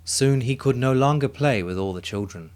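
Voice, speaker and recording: A man narrating a children's story in an expressive, dramatic way, with music behind him. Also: medium pitch, moderate pace.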